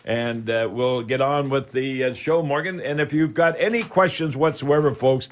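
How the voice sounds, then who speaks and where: A man's voice: mid-range voice, moderate pace, slight background noise. An older man in his seventies with a Canadian accent hosts a live radio show in a casual, rambling, chatty, folksy way.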